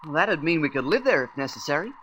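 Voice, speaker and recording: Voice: mid-range voice, sing-song, quick pace, fairly bright tone, slight background noise. A performer playing a worried space crew member in a radio serial, with a hesitant, faltering manner. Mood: wary hope.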